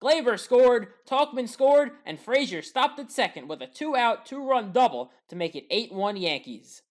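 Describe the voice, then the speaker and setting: A woman giving scripted play-by-play breakdown narration as a sports highlights commentator, in a matter-of-fact, clipped way. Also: big pitch swings, normal speed.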